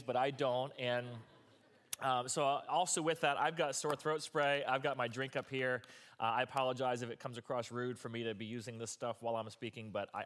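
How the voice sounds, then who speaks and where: Hoarse pastor with a strained voice. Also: male voice, mid-range voice, fairly bright tone. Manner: casual, rambling asides. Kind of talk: sermon.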